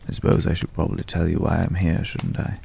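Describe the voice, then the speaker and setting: A man acting a mysterious, courteous stranger in an ASMR roleplay, speaking in a hushed, measured way with lingering pauses and a deep, gravelly voice. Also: completely flat delivery, a little hiss or hum. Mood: grave warning. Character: mysterious, courteous male stranger visiting a hunter's night camp. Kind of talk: ASMR roleplay, slow dramatic monologue.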